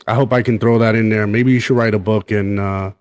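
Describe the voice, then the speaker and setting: A man's voice: lowish voice, fairly even intonation, brisk, darkish tone. Man hosting a radio interview. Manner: brief affirmations, polite thanks to guest. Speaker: radio host.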